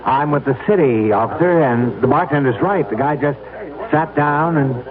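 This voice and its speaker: A man's voice: fairly high pitch, normal speed, a crowd in the background, close to an everyday voice. An actor playing a polite, cooperative city man as a witness in a 1970s radio drama. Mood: earnest, helpful. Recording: tinny old radio broadcast, voices behind.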